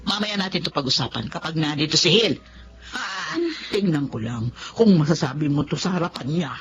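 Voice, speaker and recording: A woman's voice: low voice, lively intonation, fairly quick. A woman playing an older, meddling mother-in-law in a radio drama, imperious and scolding in manner. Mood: offended, scolding. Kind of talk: Filipino radio drama acting. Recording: dull, noisy drama audio.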